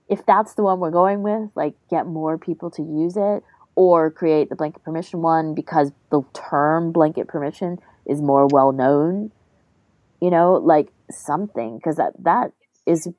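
A woman's voice: low-pitched, moderate pace, dry recording, dull audio. A woman co-hosting a podcast in casual, conversational chat. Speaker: podcast co-host.

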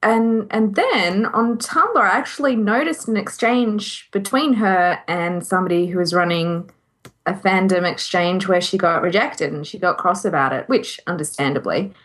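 Woman, medium pitch, casual podcast chat, co-host. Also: normal speed, fairly bright tone.

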